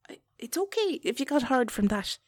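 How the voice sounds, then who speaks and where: Woman acting as flustered irish wife, breathy n husky, close dry sound, self-conscious sexy talk in an audio roleplay. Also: high voice, sing-song, dry recording. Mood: awkward, reassuring. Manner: halting, self-conscious, coaxing, drifting into breathy whispers. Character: flustered, chatty Irish wife talking intimately to her partner. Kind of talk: intimate erotic audio roleplay.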